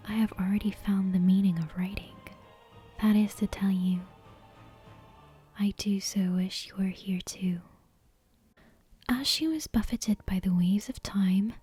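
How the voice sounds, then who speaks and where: A woman's voice: mid-range voice, husky. Whispering woman reading a bedtime story. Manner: soft, unvoiced whispering. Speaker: ASMR storyteller. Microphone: close microphone.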